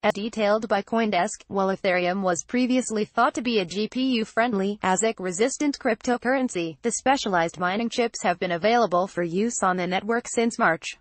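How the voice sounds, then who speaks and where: A woman narrates a written news report in a formal, read-aloud manner. Her pitch sits in the middle range for a woman, and the sound is very dry, with no room around it. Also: fairly quick, hardly any pauses, dry recording. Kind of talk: scripted narration of a news article.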